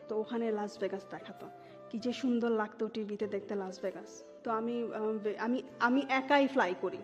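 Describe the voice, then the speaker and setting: A woman's voice: fairly high pitch, a little mellow. A woman with a Bangladeshi accent telling a personal story in an interview, mixing English words and phrases into her talk. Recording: muffled audio with music underneath. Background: music.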